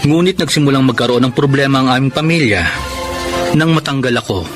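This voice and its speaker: A man's voice: mid-range voice, brisk, over loud music, lightly acted. A man playing a proud, stubborn jobless husband in a radio drama, defensive and emotional. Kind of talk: radio drama acting and narration.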